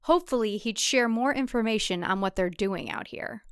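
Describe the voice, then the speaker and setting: A woman's voice: fairly high pitch, moderate pace, clean tone, no room sound, studio quality. A woman who is the author, reading her audio drama as scripted narration with a wry storytelling delivery.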